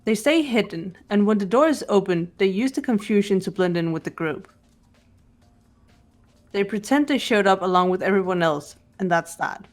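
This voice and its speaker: A woman voicing a composed, analytical teenage girl detective in a fan-voiced mystery game, speaking in a deliberate, precise way. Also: mid-range voice, lively intonation, faint music behind, natural voice. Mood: calm, analytical. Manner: deliberate, precise, lecturing delivery. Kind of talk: fan voice-over of a mystery visual novel.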